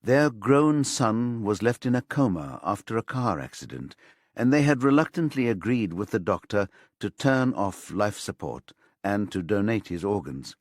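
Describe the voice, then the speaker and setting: Low-voiced man narrating a thriller, dry sound. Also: big pitch swings, normal speed, no room sound. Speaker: audiobook narrator. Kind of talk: scripted narration of a crime thriller audiobook.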